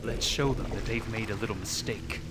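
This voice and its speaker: A man's voice: on the low side, fairly quick, background noise, natural voice. A man voicing a brooding, terse young hero in a fantasy game. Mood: vengeful. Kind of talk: dramatic fantasy game acting.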